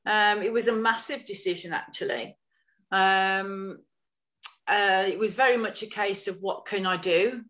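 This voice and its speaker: A woman's voice: medium pitch, measured pace, fairly bright tone. A woman co-hosting a casual live podcast, speaking in a thoughtful, reflective way. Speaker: podcast co-host.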